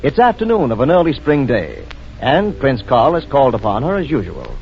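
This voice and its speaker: A man's voice: medium pitch, wildly swooping pitch, normal speed, a little hiss or hum. A man working as a radio announcer, reading scripted narration in a 1940s style. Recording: dull vintage radio recording.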